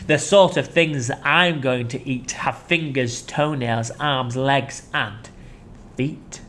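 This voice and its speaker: A storyteller voicing a boastful, greedy, enormous male crocodile, speaking slowly and gloatingly. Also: mid-range voice, fairly bright tone, slight background noise. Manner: slow, gloating, drawn-out delivery.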